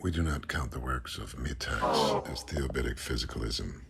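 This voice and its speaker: A man's voice: rumbling low, moderate pace, gravelly. A man giving a scripted reading of a mock-scholarly literary essay. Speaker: reader of a literary text. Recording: faint background noise.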